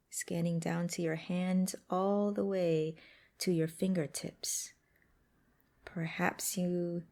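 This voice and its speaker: A woman guiding a mindfulness exercise in a gentle, unhurried, instructive way with pauses between phrases. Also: fairly low pitch, gravelly. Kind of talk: guided meditation narration.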